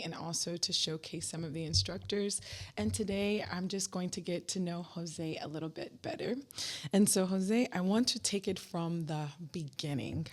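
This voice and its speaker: A woman hosting a school podcast, asking questions and encouragingly summing up her guest's answers. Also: on the low side. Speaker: podcast host, educator. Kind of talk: podcast interview.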